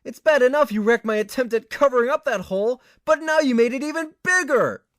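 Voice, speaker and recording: A man's voice: very high-pitched, a little fast, fairly bright tone, no room sound, clean studio sound. A man playing an earnest, put-upon young tenant in an amateur audio drama, with a hesitant manner. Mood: exasperated wailing. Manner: hesitant, stammering when flustered.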